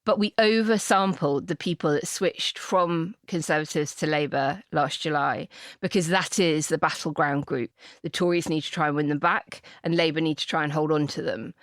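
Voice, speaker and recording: A woman's voice: sits low, fairly even intonation, moderate pace, no room sound, clean studio sound. A woman with a British accent discussing politics as a podcast co-host, speaking emphatically and repeating phrases for effect. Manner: emphatic, repeats phrases, acts out imagined exchanges. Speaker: podcast co-host and polling campaigner.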